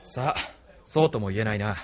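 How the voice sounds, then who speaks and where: A man's voice: lowish voice, a little fast, music in the background, close to an everyday voice. A man playing a proud, reserved military pilot in an audio drama, terse and dry. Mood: guarded. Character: curt, guarded young military pilot, cool and proud. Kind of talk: anime-style audio drama acting.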